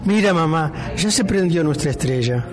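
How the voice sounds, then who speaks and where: A man voicing an eager, amazed baby bluebird chick in an amateur radio play, calling out loudly to its mother. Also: a little high, animated, normal speed, faint music behind, natural voice. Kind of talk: amateur radio theatre acting.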